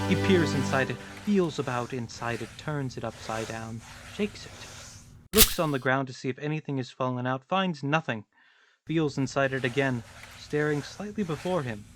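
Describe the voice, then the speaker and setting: A man's voice: mid-range voice, moderate pace, music in the background. A man narrating a play, reading out its stage directions as scripted narration.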